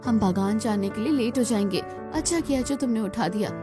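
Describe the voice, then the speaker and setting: A woman voicing a tired, gentle, dutiful young daughter-in-law with a polite, plaintive manner in a cartoon story. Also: fairly high pitch, fairly quick, music in the background, close to an everyday voice. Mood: sleepy, weary. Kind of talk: Hindi cartoon story dialogue.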